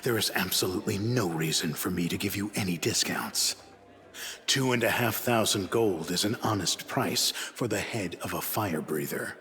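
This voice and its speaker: An actor playing a proud, cool-headed assassin for hire in a fantasy video game, speaking formally and with measured condescension in a deep, dignified voice. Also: male voice, a bit bright, big-room sound. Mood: haughty refusal.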